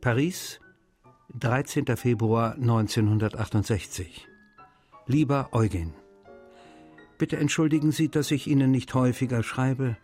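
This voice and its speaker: A man's voice: mid-range voice, darkish tone, natural voice. An actor playing a friendly novelist, reading his letters to his translator in a radio documentary. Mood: apologetic, wry.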